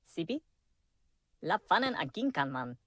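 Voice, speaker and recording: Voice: mid-range voice, big pitch swings, measured pace, a bit bright, a little hiss or hum. An actor voicing a small furry video game creature that chatters in made-up gibberish syllables. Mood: chattering.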